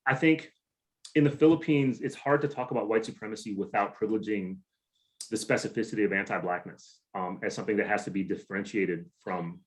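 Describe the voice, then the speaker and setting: A man with a fairly low voice talks through a dense academic answer. He speaks casually and often repeats words as he restarts his thoughts. He leans on theoretical terms, sounding like a scholar speaking over a video call. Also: brisk. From United States. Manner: conversational, many restarts and hedges, theoretical vocabulary. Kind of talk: academic talk answering questions.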